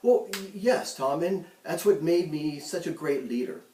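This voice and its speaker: A man playing a proud ancient Egyptian pharaoh in a mock interview, speaking haltingly with many ums and restarts, recorded in an echoey room from a distance. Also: fairly high pitch, expressive, a little mellow. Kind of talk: amateur school-style role-play interview.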